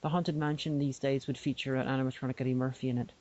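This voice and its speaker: A woman's voice: very deep voice, level tone, fast talker, a little mellow. A woman co-hosting a podcast, playful and rambling, often restarting her sentences in casual chat. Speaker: podcast co-host. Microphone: remote call microphone. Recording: dull lo-fi online call audio.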